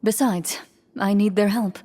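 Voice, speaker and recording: An actress playing a composed, confident young female duelist in a game, calm, measured and gently wry. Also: medium pitch, slightly rough. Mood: calm assurance. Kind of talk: scripted game character dialogue.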